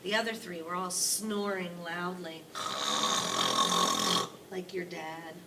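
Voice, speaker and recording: A woman reading a children's book aloud in an expressive, chatty storyteller style. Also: lowish voice, slow. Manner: prompting with questions. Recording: distant mic, clearly noisy room.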